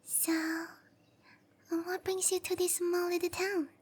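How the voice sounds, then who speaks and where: Casting: petite fantasy cowgirl for roleplay ASMR. Extremely high voice, unhurried, bashful, soft-spoken, longing for adventure. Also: flat delivery. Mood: curious, excited. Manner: hesitant, apologetic, soft-spoken with breathy delivery. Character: tiny, shy young cowgirl monster girl yearning for adventure. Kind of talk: roleplay ASMR, anime-style character acting.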